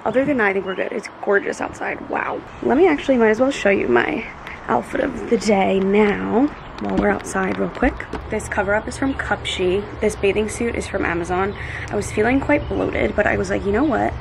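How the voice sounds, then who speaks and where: A young woman talks straight to the camera in an upbeat, chatty way, full of "like" and quick asides. Her voice has a gravelly, fry-tinged edge. Faint room ambience sits behind her, since the camera is on a kitchen table or held at arm's length. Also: medium pitch, noisy background. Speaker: lifestyle vlogger. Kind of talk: casual vlog talking to camera. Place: home kitchen indoors, then outdoors in a backyard. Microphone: camera on a desk, then handheld at arm's length.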